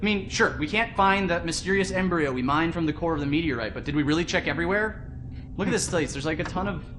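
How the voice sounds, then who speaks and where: A man's voice: a little high, fast talker, lightly acted. A man playing a squeamish, defensive crewman in a comedy, whining and rambling as he argues. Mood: argumentative. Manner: whiny, rambling, argumentative. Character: squeamish, defensive crewman stalling under suspicion. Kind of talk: deadpan comic dialogue. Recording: clear background noise and ambience.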